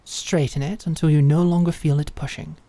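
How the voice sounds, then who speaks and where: A woman voicing a stern, teasing female knight who teaches swordsmanship, with a dry, measured manner. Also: low-pitched, fairly even intonation, dark tone, no echo. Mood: instructive. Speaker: sword instructor. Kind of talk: fantasy audio drama acting.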